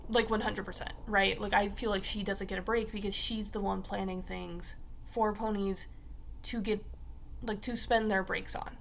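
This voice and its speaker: A young woman vlogging in a casual, rambling way, her voice raspy because she just woke up. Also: medium pitch, flat delivery, moderate pace, slight background noise. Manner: rambling, chatty, full of fillers like "like" and "uh". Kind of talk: casual talk to camera.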